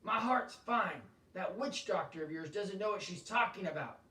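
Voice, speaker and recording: A man's voice: sits high, animated, normal speed, pitched above the performer's own voice. A red-faced older climber bellows his outrage in a raised, strained voice, as a man reading aloud puts him on. He is furious and indignant throughout. The sound is roomy and heard from some distance. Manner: shouting, indignant. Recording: echoey room, voice from a distance.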